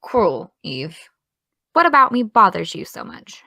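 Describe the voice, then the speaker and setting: A woman's voice: medium pitch, big pitch swings, measured pace, gravelly, pushed and bright, no echo, exaggerated voice. A woman playing a needling, indignant young American woman in an audio drama, petulant and provoking. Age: young adult.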